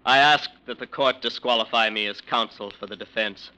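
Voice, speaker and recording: An actor playing a conflicted, frightened defense lawyer in an old radio crime drama, earnest and halting. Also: male voice, medium pitch, very noisy, lightly acted. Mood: formal, faltering. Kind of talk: 1940s-50s radio crime drama acting.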